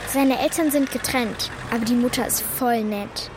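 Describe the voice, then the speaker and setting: With street noise clearly behind, a high-pitched schoolgirl acted by a woman speaks in a children's radio play. She is thoughtful and loyal, and a little defensive. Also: moderate pace, natural voice. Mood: earnest.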